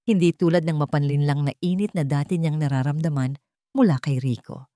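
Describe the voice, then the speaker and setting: Female narrator, Filipino accent, lower register, scripted drama storytelling, close dry sound. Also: brisk, mellow, no echo.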